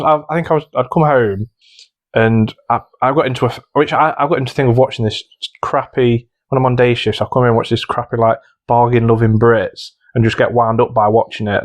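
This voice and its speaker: British male co-host, mid-pitched, quick delivery, informal podcast banter.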